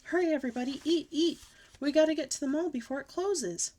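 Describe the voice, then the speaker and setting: A woman reading aloud puts on a high, bossy little girl who can barely sit still. Her voice pushes upward and gets loud as she wheedles and demands, breathless with excitement, like a storybook kid who always wants something right now. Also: fairly quick. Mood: excited. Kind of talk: audiobook character voice.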